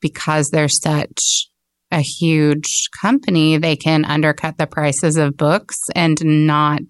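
A woman's voice: sits low, level tone, takes it slowly, no room sound, clean studio sound. A woman with an American accent explaining things casually as a shop owner being interviewed. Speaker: bookstore owner, interview guest.

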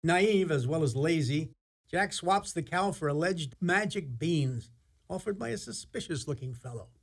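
A man's voice: a little high, lively intonation, normal speed, gravelly, slightly rough, heavily acted. A man playing a genial, theatrical narrator, telling a fairy tale in a radio play. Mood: storytelling. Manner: theatrical, storytelling.

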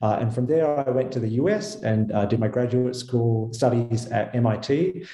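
A man's voice: mid-range voice, normal speed, mellow, echoey room. A man with an Australian accent, a visiting physicist, answering questions on a radio show in a thoughtful way, with frequent fillers and restarts. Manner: thoughtful, self-correcting, many fillers. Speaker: guest physicist.

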